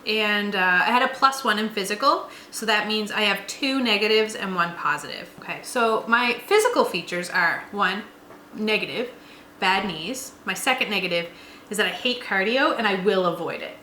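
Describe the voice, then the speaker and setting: Female co-host, mid-pitched and bright, informal chat, roomy recording with a little noise. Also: normal speed. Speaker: co-host of a tabletop roleplaying channel. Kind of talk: casual chat. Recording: roomy echo, faint background noise.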